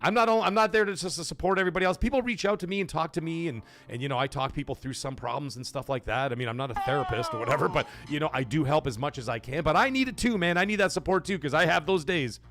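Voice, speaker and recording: A man hosting a casual livestream, loudly thanking chat supporters between serious talk. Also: on the high side, fast talker, few pauses, faint music behind. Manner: hyped, shouts out chat supporters. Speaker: livestream host.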